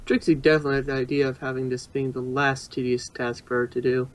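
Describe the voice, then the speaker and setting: A man's voice: medium pitch, little pitch movement, fast talker. A man reading fan fiction aloud as an amateur narrator, sometimes stumbling and rereading phrases.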